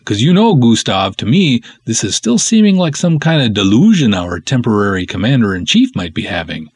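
A man's voice: mid-range voice, big pitch swings, moderate pace, a little mellow, husky, no echo. Man putting on a pompous, skeptical police sergeant. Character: stuffy, skeptical male sergeant, old friend of the hero.

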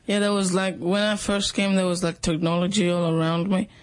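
Man, high pitch, monotone, halting speech, interview guest. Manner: halting, simple phrasing, leaning on "like" and "yeah".

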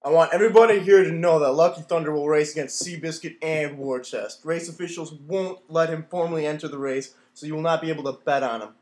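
A man's voice: fairly high pitch, fast, few pauses, a little mellow, close to an everyday voice. A man playing a cocky, boastful jockey in a radio play. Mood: defiant. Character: cocky, boastful male jockey itching for glory. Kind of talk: old-time radio play acting.

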